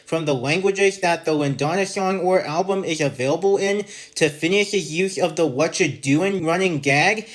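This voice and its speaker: Canadian man reading a measured review. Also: a little high. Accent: general North American. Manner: measured, list-like, reading out notes. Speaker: podcast host and episode reviewer. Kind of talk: scripted review narration.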